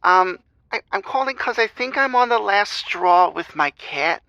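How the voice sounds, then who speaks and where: A woman's voice: medium pitch, animated, a little slow, bright, forceful tone, no room sound, dull audio. A woman playing an exasperated, aggrieved cat owner calling a comedy advice show, hesitant and complaining. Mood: fed up. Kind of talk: improvised comedy phone-in.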